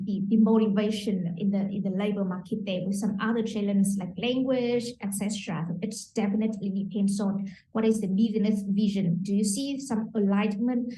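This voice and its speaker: Brief: female moderator, mellow tone, hesitant fillers, roomy and distant recording. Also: mid-range voice, a little hiss or hum. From Vietnam. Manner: hesitant, many "um" fillers while shaping questions. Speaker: host, moderator. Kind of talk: moderating a panel discussion.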